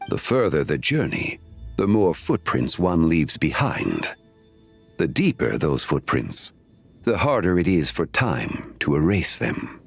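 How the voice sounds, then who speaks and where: A calm wise formal gentleman from a game, low slow voice, expressive melody, philosophical. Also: husky, faint music behind, full character voice. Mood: serene, musing. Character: calm, wise, dignified gentleman speaking in aphorisms. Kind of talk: video game voice acting.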